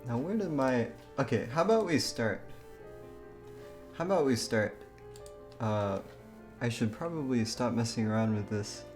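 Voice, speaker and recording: A man talks casually as a livestreamer, taking his time between phrases. He is giggly and easily sidetracked, speaking at a middling pitch, with music clearly playing behind him. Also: takes it slowly, pauses often, a little mellow. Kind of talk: casual live stream chat. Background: background music.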